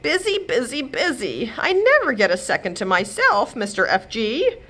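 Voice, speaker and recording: A woman's voice: fairly high pitch, wildly swooping pitch, moderate pace, pushed and bright, pitched above the performer's own voice, exaggerated voice. A woman voicing a weary, touchy fairy godmother who mutters and complains, in a children's storybook read-aloud. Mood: grumbling.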